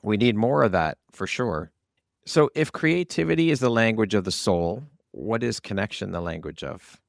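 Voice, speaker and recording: A man with a deep voice hosts an interview podcast. He speaks conversationally, and the sound is dry, with very little room in it. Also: expressive, normal speed, gravelly, no echo. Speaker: podcast host.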